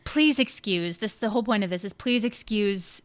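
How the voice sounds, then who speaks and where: A woman's voice: medium pitch, normal speed. A woman co-hosting a podcast in a casual chat, speaking English as a second language and laughing often. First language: not English. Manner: laughs often, lively and playful. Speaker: podcast co-host. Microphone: remote call.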